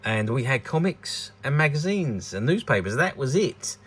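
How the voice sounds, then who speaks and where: A man's voice: medium pitch, sing-song, moderate pace, a bit bright, breathy edge. A British man in his sixties giving rambling, hesitant, unscripted commentary on a collectable, often repeating words. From United Kingdom. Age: 60s. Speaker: vintage toy dealer presenting a collectable. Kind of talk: unscripted informal product commentary.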